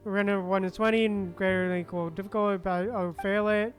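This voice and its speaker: A woman's voice: medium pitch, fairly even intonation, fairly quick, faint music behind. A woman game streamer giving casual, slangy reaction commentary over gameplay. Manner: informal, slangy.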